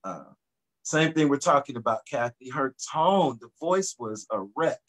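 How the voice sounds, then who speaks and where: A middle-aged man, a singer guest, talking in a relaxed, reflective way about his background on a video call from his home studio. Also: mid-range voice, unhurried. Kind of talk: casual video-call interview.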